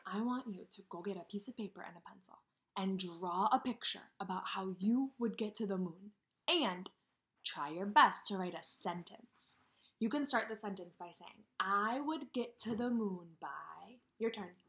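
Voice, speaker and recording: A woman's voice: medium pitch, normal speed, fairly bright tone. A woman kindergarten teacher reading a story aloud expressively and putting questions directly to young children.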